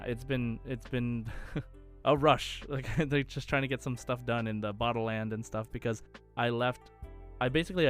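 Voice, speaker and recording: Male podcast co-host, medium-pitched, rambling casual chat, background music. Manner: rambling, informal, frequent "like". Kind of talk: casual chat about video game news.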